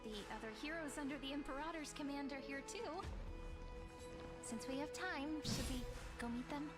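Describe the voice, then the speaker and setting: A woman's voice: high-pitched, normal speed, loud music behind the voice, close to an everyday voice. A woman playing an earnest, friendly young travelling companion in a video game.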